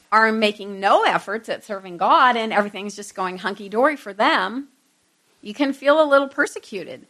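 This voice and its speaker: A woman's voice: fairly high pitch, sharp tone. A middle-aged woman giving an informal Bible lesson to a group, conversational, with self-deprecating asides to her listeners.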